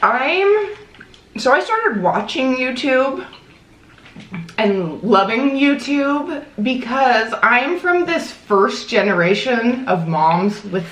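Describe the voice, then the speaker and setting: A woman in her late thirties chatting casually as a guest, telling stories about her life in an animated way. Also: high voice, takes it slowly. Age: 30s. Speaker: guest, fashion YouTuber. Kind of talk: casual chat over a meal. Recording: echoey room, faint background noise.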